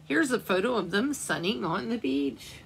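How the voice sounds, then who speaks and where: Woman, storytime librarian, read-aloud, gentle questions, gravelly. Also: medium pitch, big pitch swings, normal speed, a little hiss or hum. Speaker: children's librarian. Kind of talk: reading a picture book aloud for storytime.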